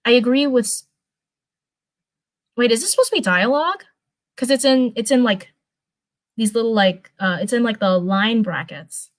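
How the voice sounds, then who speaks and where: A woman's voice: fairly high pitch, moderate pace, long pauses. Woman streamer reading aloud, informal and chatty. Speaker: streamer reading fan fiction live. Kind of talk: casual live-stream chat and informal story reading.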